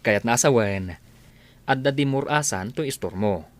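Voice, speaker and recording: A man's voice: medium pitch, expressive, a little slow, lightly acted. A man playing an opinionated village husband in an Ilocano radio comedy drama, talking in an admonishing, conversational way with an Ilocano accent. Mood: friendly advising.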